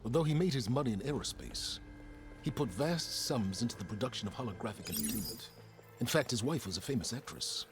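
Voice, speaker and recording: A man playing a measured, scholarly adviser in a video game, speaking in precise, formal, expository phrases. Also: medium pitch, lively intonation, over music, close to an everyday voice. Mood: measured, explanatory. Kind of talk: video game dialogue acting.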